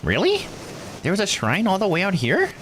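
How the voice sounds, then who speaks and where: Man voicing an earnest, animated young heroine, pitched up. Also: normal speed, background noise. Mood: surprised, curious. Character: earnest, curious, polite young woman, visual novel heroine. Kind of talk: visual novel character read aloud.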